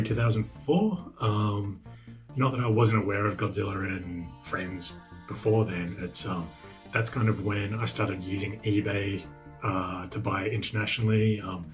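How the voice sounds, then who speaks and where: Low-voiced, relaxed collector man. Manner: relaxed, rambling, filler-heavy. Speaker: toy collector. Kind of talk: casual video testimonial.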